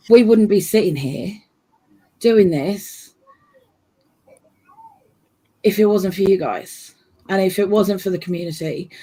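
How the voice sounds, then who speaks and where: A hoarse woman hosts a casual live-stream chat over a video call, talking chattily with frequent laughs. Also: mid-range voice, darkish tone. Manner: chatty, laughs often, fillers like "you know". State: losing her voice, hoarse. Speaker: host.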